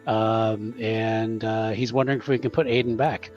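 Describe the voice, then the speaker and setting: A man's voice: fairly low pitch, fairly even intonation, normal speed, a little mellow, faint music behind. A man playing a tabletop game, introducing his character casually in an understated, hesitant way, on a slightly dull microphone.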